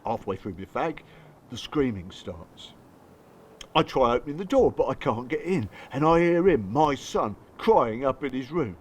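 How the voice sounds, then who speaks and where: A man's voice: mid-range voice, expressive, normal speed, slight background noise, lightly acted. An actor playing a bitter, working-class English father in a radio drama, confessing his hurt in blunt, colloquial, strained speech. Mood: anguished, agitated. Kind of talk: radio drama dialogue and monologue.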